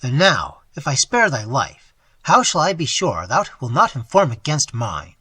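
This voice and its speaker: A man's voice: mid-range voice, huge pitch swings, measured pace, pushed and bright, slightly rough, no room sound, heavily acted. A man voicing a bitter young man who speaks with cold, harsh scorn. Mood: menacing. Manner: harsh, sneering.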